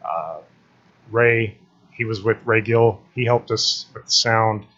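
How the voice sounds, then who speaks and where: A man promoting his film on a podcast, relaxed and full of fillers. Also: fairly low pitch, slow, lo-fi sound. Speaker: filmmaker guest. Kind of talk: casual promotion.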